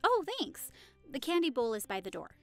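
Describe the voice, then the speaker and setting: Woman acting as chatty gushing party hostess, high voice, really animated, audio drama. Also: moderate pace, soft background music. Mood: cheerful. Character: warm young woman hosting a Halloween costume party.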